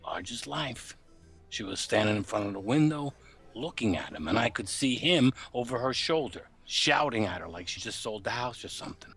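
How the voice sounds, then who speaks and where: Husky, uneasy working-class chauffeur played by a man. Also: medium pitch, lively intonation, moderate pace, a bit bright, faint music behind. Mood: bewildered. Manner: colloquial, hesitant, confiding. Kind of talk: noir radio play dialogue.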